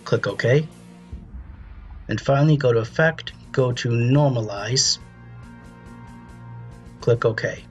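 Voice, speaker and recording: A man presenting a step-by-step software tutorial in a casual, instructional way. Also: mid-range voice, slow, long pauses, highs cut off, soft background music.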